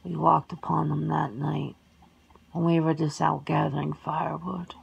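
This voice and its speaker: A woman playing a wistful, reserved vampire sister in an ASMR roleplay, speaking slowly and formally in a hushed voice. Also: sits low, level tone, creaky, breathy edge, highs cut off, full character voice. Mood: mournful, hushed. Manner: slow, hushed, formal, measured. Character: wistful, reserved, long-lived vampire sister, lonely wanderer.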